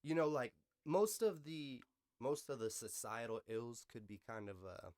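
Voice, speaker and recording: An American man hosts a casual podcast in a mid-pitched voice with a dry, close sound. He talks loosely and conversationally, with plenty of slang and swearing, and offers opinions freely. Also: expressive, moderate pace, darkish tone, no room sound. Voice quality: slangy, profane speech. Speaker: podcast host. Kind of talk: casual unscripted podcast chat.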